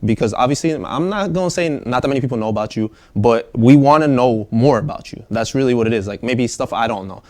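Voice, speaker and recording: A young man from Staten Island, New York, hosting a casual podcast interview in an upbeat, relaxed way with many fillers. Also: medium pitch, quick pace, hardly any pauses. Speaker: podcast host.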